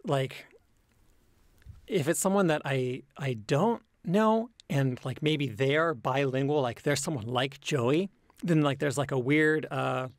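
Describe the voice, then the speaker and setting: A man who speaks English natively answers in a casual, reflective interview. His voice sits at a medium pitch and he picks his words carefully, with hesitations and qualifications. The sound is dry, with no room echo. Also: gravelly. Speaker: interview guest, language learner and comedy creator.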